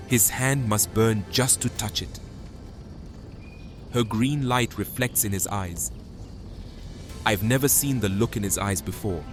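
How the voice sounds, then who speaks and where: A man playing a troubled hidden observer who narrates a fantasy drama in hushed, halting phrases. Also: fairly low pitch, natural voice. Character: watchful hidden observer, troubled chosen one, resolute. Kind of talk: first-person dramatic narration. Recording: music behind the voice.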